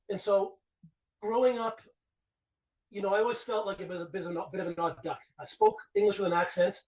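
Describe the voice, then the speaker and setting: Canadian man in his forties, raised speaking Finnish, rambling and reflective; high voice, distant muffled mic. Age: 40s. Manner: rambling and reflective, frequent restarts and "you know". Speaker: guest. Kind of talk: casual conversational interview. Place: his home.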